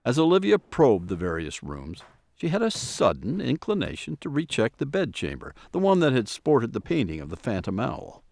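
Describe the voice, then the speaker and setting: Dramatic male narrator, animated delivery. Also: mid-range voice, normal speed, gravelly, no echo. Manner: suspenseful storytelling with dramatic emphasis. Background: music soundtrack.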